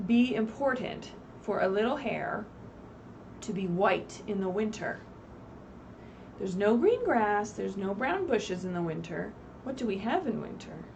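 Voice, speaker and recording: A woman reading aloud expressively at a children's story time, pausing to put simple questions to her young listeners. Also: medium pitch, gravelly. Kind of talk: animated read-aloud with asides to young children. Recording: distant mic, faint background noise, livestream.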